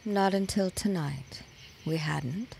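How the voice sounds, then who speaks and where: An actress playing a cool, defiant secretary in a period audio drama, guarded and pointed in manner. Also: female voice, fairly low pitch, slow, gravelly, a little mellow, slight background noise. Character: cool, defiant young secretary as party guest.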